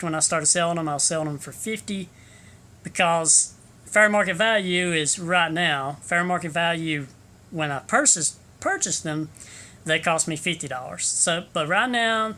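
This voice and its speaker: A man gives a rambling, hesitant sales pitch into his phone camera, with many ums and restarts, in a room with an overhead fan running. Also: sharp tone. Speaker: local photographer selling prints.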